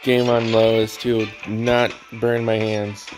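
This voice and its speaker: A man's voice: on the low side, level tone, slow pace. A man giving casual gameplay commentary, with offhand muttered reactions and bits read aloud. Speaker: gaming streamer.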